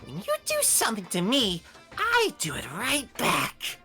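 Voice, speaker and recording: A woman's voice: fairly high pitch, huge pitch swings, measured pace, over music. A woman voicing a mischievous, menacing cartoon bear mascot with gleeful, theatrical delivery in a fan dub of a video game. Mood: mock menace.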